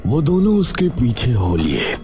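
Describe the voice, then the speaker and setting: A man's voice: medium pitch, wildly swooping pitch, unhurried, mellow. A man narrating a scripted dramatic village story. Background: background music.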